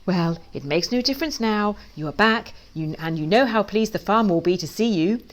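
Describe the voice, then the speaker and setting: A woman's voice: medium pitch, expressive, a little fast, close to an everyday voice. A woman voicing a mocking, gloating evil chicken in a children's storybook, with sing-song baby-talk taunts.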